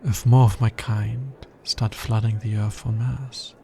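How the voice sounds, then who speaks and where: A man playing a tender, earnest alien scientist in an intimate ASMR romance roleplay, soft-spoken and measured. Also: lowish voice, level tone, creaky, slight background noise. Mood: wistful, worried. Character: smitten male alien scientist, earnest, tender, fascinated by humans.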